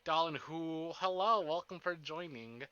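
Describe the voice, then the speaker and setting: A man's voice: fairly high pitch, a little slow, bright, forceful tone, clean tone, no room sound, muffled recording. A man hosting a livestream in casual, rambling, informal chat with some mild swearing, speaking close to the microphone. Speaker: livestream host.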